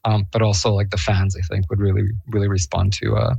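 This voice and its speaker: Chatty low-voiced man, dry sound. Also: level tone, quick pace, vocal fry, a little mellow, breathy edge, no echo. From Bosnia and Herzegovina. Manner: enthusiastic, chatty, laughs often, many fillers. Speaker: guest, Eurovision fan. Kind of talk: casual interview chat.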